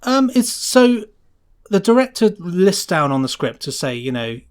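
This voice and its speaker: A man's voice: sits high, big pitch swings, measured pace, clean tone. A man with a British accent giving a casual podcast interview as a sound designer, explaining his working process and illustrating points with imagined quotes.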